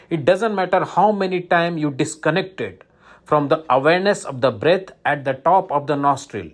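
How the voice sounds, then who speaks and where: A man teaching guided meditation, giving instructions in short, repeated phrases with pauses between them. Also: a little high.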